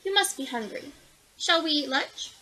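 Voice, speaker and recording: Storybook rabbit father, kind and patient, read by a woman; bright, lively voice. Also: high-pitched, normal speed, a little hiss or hum, voice pushed up, low-quality recording. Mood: kindly. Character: kindly, gentle rabbit father.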